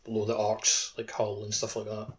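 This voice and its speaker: A man's voice: fairly low pitch, monotone, moderate pace, fairly bright tone. A man co-hosting a podcast, talking casually and conversationally about games. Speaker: podcast host. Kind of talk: casual gaming podcast chat.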